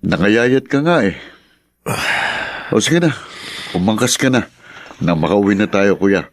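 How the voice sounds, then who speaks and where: An actor playing a loyal, protective younger brother, earnest and direct, in a radio drama. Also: male voice, lowish voice, expressive, unhurried. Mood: reassuring, earnest.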